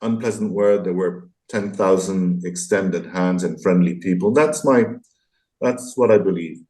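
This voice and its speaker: Low-voiced, mellow man in his sixties. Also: normal speed. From France, partly raised abroad. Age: 60s. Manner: thoughtful, hesitant, self-deprecating, articulate. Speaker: interview guest, graphic novelist and illustrator. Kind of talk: reflective conversational interview answers.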